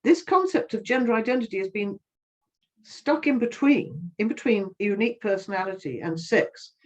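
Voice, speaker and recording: A woman's voice: medium pitch, lively intonation, normal speed, darkish tone. An older woman giving earnest interview answers, impassioned, often restarting and repeating words. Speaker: interviewee, activist. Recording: slightly dull, lo-fi sound.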